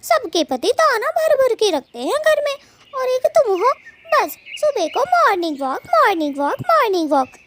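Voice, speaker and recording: A woman acts out an indignant little bird wife in a cartoon tale. Her voice is extremely high and swings widely as she scolds and nags. Some noise sits behind her. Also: very animated, moderate pace. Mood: nagging, demanding. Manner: shrill scolding, nagging. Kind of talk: Hindi cartoon moral story dialogue.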